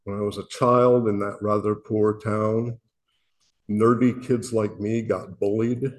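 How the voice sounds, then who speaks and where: A man from Illinois with a booming voice, speaking earnestly and self-deprecatingly to a worship meeting, often pausing and restarting his sentences. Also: little pitch movement. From Illinois, USA. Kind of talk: reflective spoken message.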